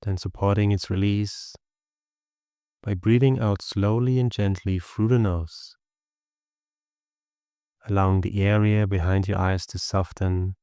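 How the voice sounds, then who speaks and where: Male meditation guide, deep clear resonant voice, gentle instructive delivery with long pauses. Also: fairly even intonation, no echo, clean studio sound. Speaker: meditation teacher.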